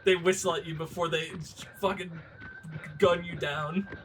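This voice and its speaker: A man chatting casually with friends as he reacts, excitable and quick to swear. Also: a little high, music in the background.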